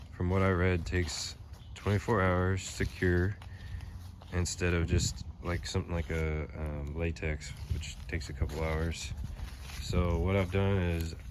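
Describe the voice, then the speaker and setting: A man's voice: low-pitched, a little hiss or hum. A young man with a stuffed-up head cold, rambling casually through a phone-filmed how-to vlog. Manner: relaxed rambling with fillers. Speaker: channel host. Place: pole barn, then outdoors on a lawn. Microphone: phone at arm's length, then phone camera behind it.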